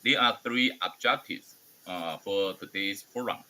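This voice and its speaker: A man with a Taiwanese accent and Mandarin as his first language, hosting an online academic forum with a formal but halting, hesitant delivery. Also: on the low side, bright, forceful tone, a little hiss or hum, rough recording. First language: Mandarin Chinese. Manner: halting, hesitant non-native English delivery. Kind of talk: formal welcome speech.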